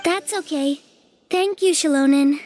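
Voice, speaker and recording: A woman voicing a gentle, earnest, bookish little girl in a fantasy game. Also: high voice, measured pace, faint music behind. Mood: soft, forgiving. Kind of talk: game character dialogue.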